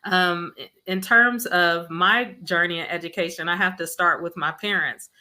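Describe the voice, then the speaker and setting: Bright-voiced woman from Mississippi, an education administrator, as an earnest interview guest speaking over a video call. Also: fairly low pitch, steady tone, moderate pace. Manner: warm, earnest storytelling with fillers. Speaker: school district chief academic officer.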